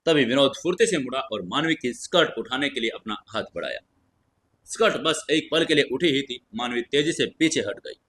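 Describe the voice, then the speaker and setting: A man narrating a scripted audio story in a dramatic, expressive, suspenseful way. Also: medium pitch, normal speed, slightly rough.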